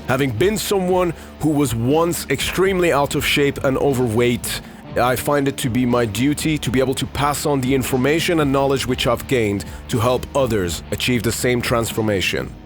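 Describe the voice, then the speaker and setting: A man's voice: medium pitch, normal speed, faint music behind. A man in his thirties from Iran, hosting his own podcast in a candid, rambling way with many fillers. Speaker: podcast host. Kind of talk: casual solo podcast talk.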